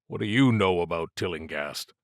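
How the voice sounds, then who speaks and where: A man's voice: low voice, lively intonation, normal speed, no room sound, studio quality, voice pushed down. A man voicing a weary, stubborn federal agent in an audiobook, terse, pointed and grudging. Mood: insistent, suspicious. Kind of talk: audiobook character dialogue.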